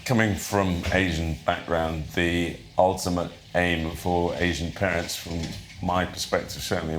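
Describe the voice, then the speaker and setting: A middle-aged man from Sri Lanka, a guest speaking in a thoughtful, modest, low-key way during an interview. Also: slight background noise. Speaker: guest, surgeon and painter. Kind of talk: reflective interview talk.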